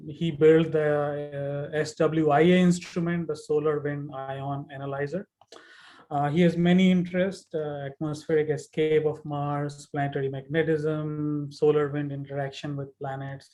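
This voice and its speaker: A man's voice: fairly high pitch, darkish tone. A man giving a semi-formal introduction as the host of an academic webinar.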